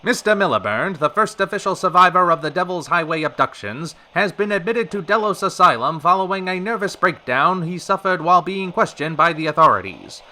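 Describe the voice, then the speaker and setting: A man's voice: high-pitched, expressive, a little fast, few pauses, bright, forceful tone, full character voice. A man playing a showy 1930s radio show host with a theatrical announcer delivery. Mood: showy, polished. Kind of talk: 1930s radio broadcast acting.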